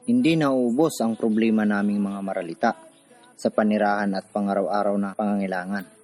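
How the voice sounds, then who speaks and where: A man's voice: lowish voice, fairly even intonation, fairly quick, a little mellow, soft background music, lightly acted. An actor playing a poor man from a city slum, narrating his own hard life in a scripted radio drama. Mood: somber, reflective.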